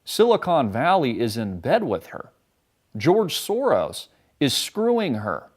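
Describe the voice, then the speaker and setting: A man's voice: mid-range voice, wildly swooping pitch, slow. Sarcastic ranting man. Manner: sarcastic, combative, profane. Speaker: political commentary host. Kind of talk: ranting political commentary.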